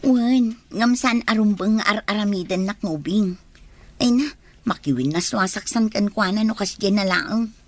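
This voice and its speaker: A woman playing a guarded, quick-tempered young woman in a radio drama, with sharp, defensive outbursts. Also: medium pitch, no room sound, lightly acted. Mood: irritated.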